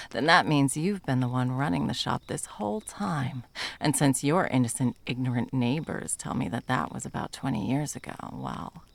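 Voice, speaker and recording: A woman's voice: extremely low pitch, creaky, exaggerated voice. A woman playing a bitter, sarcastic monster hunter and betrayed lover in a dramatic ASMR roleplay, mocking and foul-mouthed.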